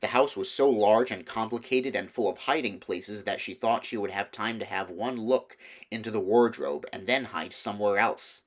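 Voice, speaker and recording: A man's voice: fairly low pitch, moderate pace. A man reading a children's novel aloud as scripted storybook narration. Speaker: audiobook reader.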